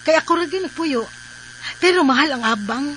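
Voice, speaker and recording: High-voiced, teasing young Filipina. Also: female voice, expressive, brisk, background noise. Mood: coy, teasing. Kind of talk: Filipino radio drama acting.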